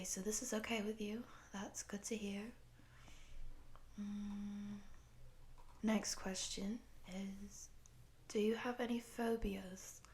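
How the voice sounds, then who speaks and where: A woman playing a polite, earnest program interviewer in a scripted roleplay, speaking softly and breathily in a measured, formal, official manner. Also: medium pitch, close to an everyday voice. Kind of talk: soft-spoken scripted roleplay audio.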